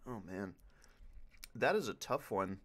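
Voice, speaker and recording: A man's voice: fairly low pitch, sing-song, unhurried, a crowd in the background. A man who voice acts, chatting casually and loosely as a panelist at a Q&A. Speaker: voice actor panelist.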